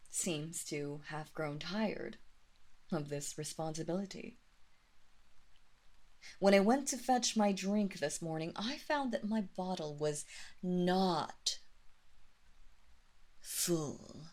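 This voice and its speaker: A woman playing an imperious, coolly menacing vampire mistress in a roleplay audio drama, speaking formally and condescendingly, at times dropping into a breathy whisper. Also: lowish voice, a little mellow. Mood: stern, accusing. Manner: slow, deliberate, formal, condescending.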